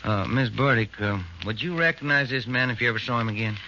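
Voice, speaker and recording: An actor playing a straightforward, colloquial police detective sergeant, the working partner in a 1940s radio crime drama. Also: male voice, medium pitch, quick pace, sharp tone. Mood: alert. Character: plain-spoken male police detective, eager working partner. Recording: dull, noisy old radio recording.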